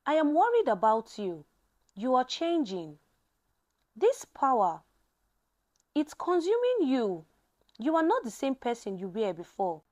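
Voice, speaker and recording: A woman's voice: sits high, wildly swooping pitch, measured pace, long pauses, a little mellow, exaggerated voice. A woman voicing a worried, frustrated man who pleads earnestly in a story.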